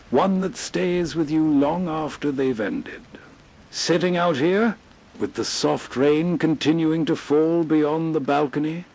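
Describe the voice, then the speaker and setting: A man's voice: fairly high pitch, creaky, darkish tone, breathy edge, poor audio. A man hosting with soothing, reflective scripted narration in a late-night nostalgic style. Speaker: host.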